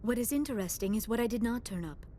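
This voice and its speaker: A woman's voice: a little high, lively intonation, fast talker, mellow, slight background noise, natural voice. A woman voicing an earnest, polite young alien scholar in a video game, speaking in a precise, formal way. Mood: earnest, intent. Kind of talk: video game dialogue acting.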